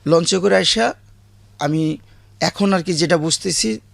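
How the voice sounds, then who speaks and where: A man with a rural Bangladeshi accent, a guest recounting childhood memories in a halting way, often correcting himself. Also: a little high, fairly even intonation, creaky, no echo.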